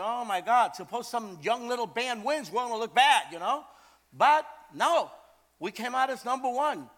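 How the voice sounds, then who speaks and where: An older man from Brooklyn, preaching in a storytelling style, animated and emphatic, repeating phrases for effect. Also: very high voice. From Brooklyn, New York, USA. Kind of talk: storytelling sermon.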